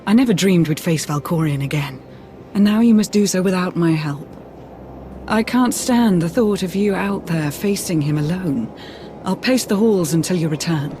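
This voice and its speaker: An actress playing a devoted woman ally, speaking softly and earnestly. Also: lowish voice, normal speed, a little hiss or hum, lightly acted. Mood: worried. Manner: soft and earnest.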